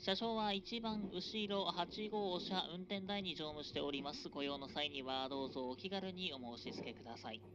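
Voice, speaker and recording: A man announces stops and connections over a train's PA in a formal, drawn-out cadence. The sound is dull and muffled, as if picked up from a seat. A loud rumble of the moving train sits behind him. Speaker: train conductor. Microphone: train PA system recorded from a passenger seat. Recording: dull, noisy onboard PA recording.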